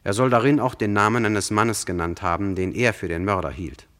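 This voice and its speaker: A deep-voiced male narrator played by an actor tells a true crime radio play. His delivery is sober and measured, explaining things directly to the listener. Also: a bit bright, natural voice.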